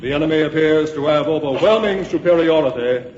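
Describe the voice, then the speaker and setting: An actor playing a grave presiding officer of a colonial congress in an old-time radio drama, solemn and declamatory. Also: male voice, fairly high pitch, fairly quick, a little mellow, lightly acted. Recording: echoey hall, muffled vintage radio recording.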